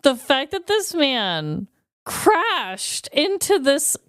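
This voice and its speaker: A woman's voice: high voice, very animated, drawn-out words, fairly bright tone, no echo, studio quality. An American woman co-hosting a podcast in casual banter, with an emphatic, playfully exasperated way of talking. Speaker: podcast co-host.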